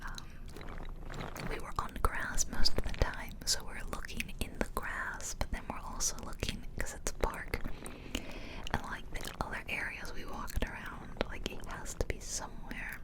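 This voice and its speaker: A woman speaks entirely in a soft, breathy whisper, right up close to a stereo microphone. Her delivery is gentle and chatty, rambling along in a casual ASMR storytelling style. The voice stays unvoiced and intimate throughout. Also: moderate pace, low-quality recording. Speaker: ASMR video creator and gamer. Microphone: close stereo ear-to-ear microphone.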